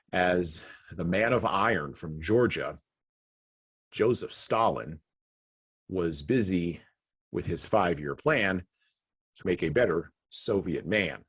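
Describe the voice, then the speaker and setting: Male podcast host, deep and breathy, reflective talker. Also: no echo. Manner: reflective, laughs between points. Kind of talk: conversational podcast discussion.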